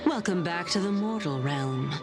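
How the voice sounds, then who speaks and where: A woman voicing a calm, enigmatic caretaker in a video game, with a formal manner. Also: lowish voice, natural voice. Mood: calm, knowing. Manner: unhurried, formal. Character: calm, enigmatic female caretaker speaking of death. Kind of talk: scripted video game dialogue. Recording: music under the voice.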